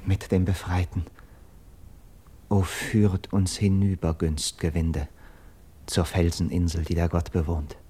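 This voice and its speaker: An actor playing an urgent, persuasive young nobleman of Greek myth in a classical verse radio drama, with declamatory, measured stage diction. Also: low-pitched, level tone, dark tone, husky, exaggerated voice. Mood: exalted, hopeful.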